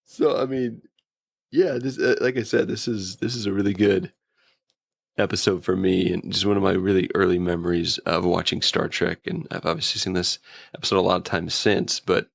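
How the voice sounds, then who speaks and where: A man's voice: low-pitched, level tone, moderate pace, gravelly, no echo. A man chatting casually as a guest reviewer, with an informal, enthusiastic fan's way of talking. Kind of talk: casual episode review chat.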